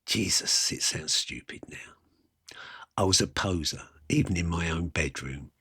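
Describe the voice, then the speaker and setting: A man with a husky voice performing a wry, self-mocking rock and roll fan's conversational monologue about his youth. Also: low-pitched, measured pace, sharp tone, in a whisper, exaggerated voice. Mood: wistful, self-mocking. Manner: colloquial, self-mocking, reminiscing. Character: Johnny, wry music-mad eighteen-year-old English rock and roll poser. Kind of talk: first-person dramatic monologue, conversational storytelling.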